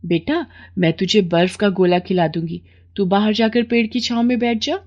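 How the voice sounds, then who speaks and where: A humble, sorrowful housemaid, acted by a woman in a storybook tale. She speaks meekly and gently, with deference. The sound is a bit muffled. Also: mid-range voice, steady tone, moderate pace, close to an everyday voice. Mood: tender, sorrowful.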